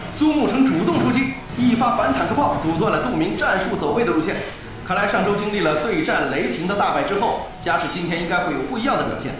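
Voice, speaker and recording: Fast, echoing esports commentator. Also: male voice, sits high, expressive. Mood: announcing. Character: excitable male esports match commentator. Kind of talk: live match commentary in audio drama. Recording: very echoey arena-like sound.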